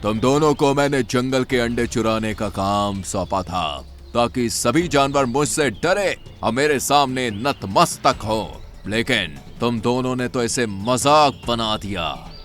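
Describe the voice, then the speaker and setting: A man's voice: lively intonation, slow pace, few pauses, a bit bright, soft background music. Man voicing a big menacing woodpecker boss. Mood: angry, menacing. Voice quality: deep voice. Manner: scolding, commanding. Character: big menacing male woodpecker gang boss, angry bully.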